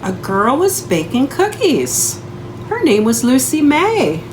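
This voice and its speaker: High, animated woman reading to young children. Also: takes it slowly, background noise. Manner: sing-song, prompting listeners with questions and counting. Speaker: children's librarian reading a story aloud. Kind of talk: interactive picture-book read-aloud for young children.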